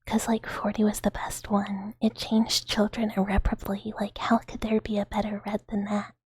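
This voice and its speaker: A woman's voice: mid-range voice, monotone, moderate pace, darkish tone, slightly rough. A woman livestreamer whispering casually to her viewers in an airy ASMR style, with a playful, rambling manner. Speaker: livestreamer hosting a chat stream.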